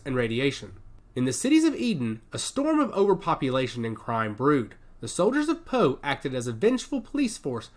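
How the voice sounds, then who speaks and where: A male narrator reads dramatic fiction in a fairly high voice. His pitch rises and falls widely across each scripted sentence.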